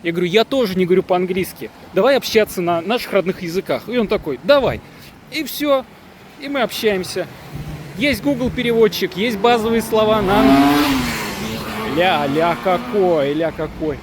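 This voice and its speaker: A man's voice: sits high, slight background noise. Jokey slangy man vlogging outdoors. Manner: jokey, boastful, slangy storytelling. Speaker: travel vlogger. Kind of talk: casual vlog narration.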